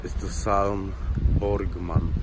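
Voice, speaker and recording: A man's voice: low voice, flat delivery, slow. A man giving casual spoken commentary as he presents cars on a phone video. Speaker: car import agent presenting cars. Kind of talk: casual spoken vlog commentary at a car lot. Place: outdoor car dealership lot, sometimes inside a car. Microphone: handheld phone filming, speaker off camera. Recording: outdoor car lot noise, handheld camera. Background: clear urban outdoor and vehicle noise.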